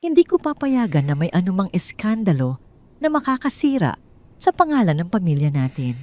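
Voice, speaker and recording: A woman narrator plays an imperious older society matriarch in a story. Her voice is lowish and mellow, her manner formal and probing, and she speaks with suspicion and authority. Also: very animated, normal speed, dry recording. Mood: stern warning. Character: stern, imperious older wealthy mother-in-law, suspicious matriarch.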